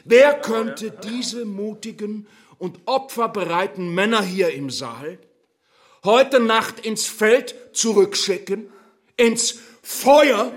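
A pompous Nazi functionary addressing villagers in a radio drama. His voice is raised high and bright, and he draws out his phrases slowly. The delivery is unctuous and rousing, with theatrical pauses. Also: full character voice. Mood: fervent, rousing. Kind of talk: rousing wartime speech in a radio play.

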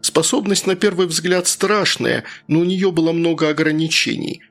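A man's voice: on the high side, steady tone, moderate pace, fairly bright tone. A man narrating a first-person story as a scripted audiobook reader. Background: faint background music.

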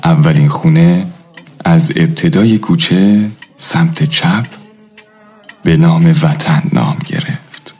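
A man narrates a nostalgic radio play in a reflective, literary way. His voice is low and husky, with soft music behind it. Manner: reflective, storytelling.

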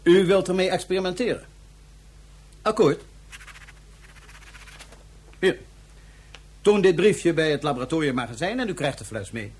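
Pompous high-pitched megalomaniac dictator. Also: male voice, moderate pace, pauses often, a little mellow, slightly rough. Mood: smugly dismissive. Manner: pompous, mockingly polite. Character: smug megalomaniac dictator plotting to seize the solar system. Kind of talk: radio drama acting.

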